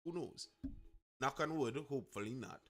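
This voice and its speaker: A man's voice: medium pitch, lively intonation, moderate pace, fairly bright tone. A man with a Trinidadian accent hosting a live news discussion, talking conversationally and often rephrasing his points. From Trinidad and Tobago.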